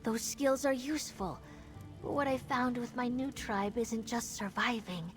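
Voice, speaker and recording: A woman's voice: a little high, steady tone, unhurried, natural voice. A woman voicing an earnest, reflective reptilian tribeswoman, a freed slave, in a video game. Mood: hopeful, proud. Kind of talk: video game character dialogue. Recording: game music under the voice.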